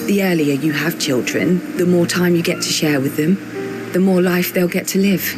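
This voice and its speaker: A guarded young woman teacher in a video game , played by an actress, speaking in a slightly low voice. She is dry and teasing with a melancholy undertone, delivered naturally and measuredly over clearly audible music. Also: moderate pace, natural voice. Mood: sober, reflective.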